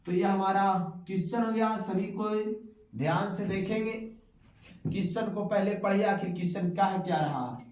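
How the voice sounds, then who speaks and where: A man's voice: sits high, normal speed, darkish tone. A male maths teacher from Bihar taking a class, explaining step by step and constantly quizzing a pupil. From Bihar, India. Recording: echoey room.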